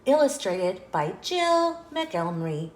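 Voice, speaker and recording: A woman reading a children's picture book aloud as an expressive, measured storyteller. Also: medium pitch. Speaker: storyteller, host of a children's read-along show. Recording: roomy echo.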